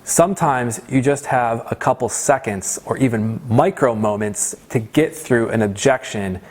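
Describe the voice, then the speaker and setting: A man's voice: mid-range voice, a little slow, a little mellow. A man giving an instructional talk as a sales trainer, conversational and emphatic, often checking in with the listener. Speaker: sales trainer presenting a course. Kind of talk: instructional presentation with role-played example lines.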